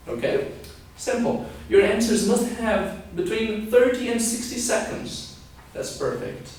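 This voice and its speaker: A young man with a high voice presents a lesson as a teacher. He explains things in an instructional way, often adding a checking okay. The sound is heavily reverberant, picked up at a distance from the microphone. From born in Scotland, raised partly in Brazil. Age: 20s. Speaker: English teacher and pilot giving a lesson. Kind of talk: instructional video lesson to camera. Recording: very echoey room, distant microphone.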